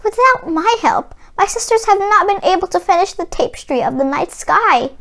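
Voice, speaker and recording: A woman's voice: extremely high pitch, animated, normal speed, a bit bright, voice pushed up, exaggerated voice. A woman voicing a tearful, guilt-stricken young sky weaver in a storybook, plaintive and pleading. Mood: tearful, guilty. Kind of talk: storybook read-aloud character voice.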